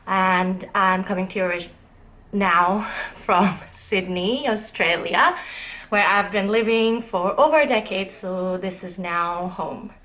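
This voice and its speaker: A woman's voice: medium pitch, slow, a bit bright, poor audio. A woman in her twenties from Nepal, hosting her own knitting video podcast in a casual, giggly, self-deprecating way.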